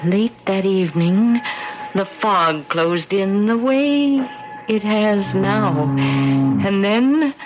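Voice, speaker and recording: An actress playing a mournful, insistent old ghost of a sea captain's widow in a solemn, old-fashioned radio drama style. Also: female voice, medium pitch, slow pace, close to an everyday voice. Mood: foreboding. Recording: dull old radio recording, music behind.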